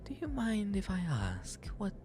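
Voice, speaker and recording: A man acts out a genteel, bashful owl demon aristocrat for an ASMR roleplay. The voice is soft and breathy, slow but lilting with lively pitch. The diction is formal, teasing and self-deprecating, over a clear music bed. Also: full character voice. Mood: pleased, flirtatious. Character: genteel, shy, playfully flirtatious owl demon nobleman. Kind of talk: ASMR roleplay, intimate audio drama. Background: music throughout.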